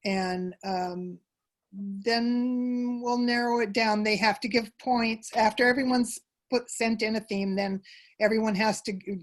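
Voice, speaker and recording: Woman explaining things in conversation. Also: medium pitch. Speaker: theater troupe member, interview guest. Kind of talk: conversational interview answers.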